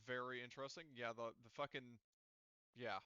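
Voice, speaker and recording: A man acting as game master in an online tabletop session, talking haltingly as he thinks aloud through rules and dice tallies. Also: medium pitch, flat delivery, pushed and bright, clean tone, no room sound, highs cut off.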